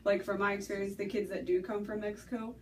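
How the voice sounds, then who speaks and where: A woman's voice: medium pitch, flat delivery, quick pace, a little mellow. A young adult woman interviewing, asking casual, tentative questions full of "like". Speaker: student interviewer. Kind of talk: conversational interview. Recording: distant mic, faint background noise.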